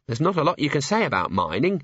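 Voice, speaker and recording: A man's voice: fairly high pitch, huge pitch swings, fast, fairly bright tone, husky, dry recording, muffled recording, voice pushed up, heavily acted. A man voicing a timid, earnest young female dwarf officer in an audiobook, eager and deferential. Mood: shy, curious.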